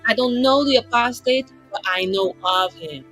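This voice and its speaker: A woman's voice: a little high, normal speed, a bit bright, soft background music, poor audio. Playful woman in casual tabletop roleplay.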